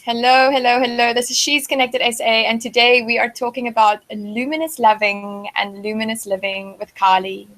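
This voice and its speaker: A woman's voice: a little high, fairly even intonation, moderate pace, hardly any pauses, fairly bright tone. A woman hosting an interview channel, effusive and complimentary, piling on affirmations as she speaks. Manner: effusive and gushing, full of affirmations. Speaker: host. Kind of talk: warm spiritual interview hosting.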